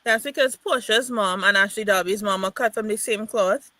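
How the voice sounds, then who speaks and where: A woman's voice: mid-range voice, normal speed, bright, forceful tone, no echo. A woman chatting bluntly and emphatically about a reality show. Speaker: panelist.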